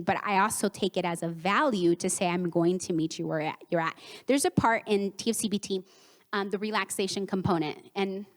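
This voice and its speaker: Chatty woman therapist in a hall. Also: medium pitch. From United States, Mexican home. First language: Spanish. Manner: warm conversational with acted-out quotes and laughs. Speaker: therapist giving a conference talk. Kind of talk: conference presentation. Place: conference hall. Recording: reverberant conference hall.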